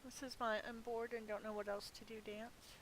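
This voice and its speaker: A woman's voice: a little high, normal speed, poor audio. Woman's casual gameplay chat, hissy recording. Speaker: video game streamer narrating own play. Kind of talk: casual gameplay commentary, talking to self. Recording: hissy home recording, steady noise.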